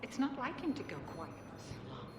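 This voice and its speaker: A woman's voice: on the high side, wildly swooping pitch, fairly quick, a loud crowd. A woman playing a worried, genteel and insistent older mother in a dramatic game scene. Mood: worried, polite. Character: worried, polite older mother seeking her son. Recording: echoey room, loud ambient noise.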